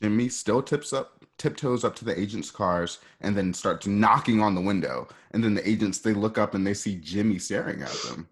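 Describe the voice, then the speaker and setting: A man's voice: lowish voice, moderate pace, few pauses, slightly rough. A man informally narrating stage directions for a radio play in a conversational, ad-libbing way.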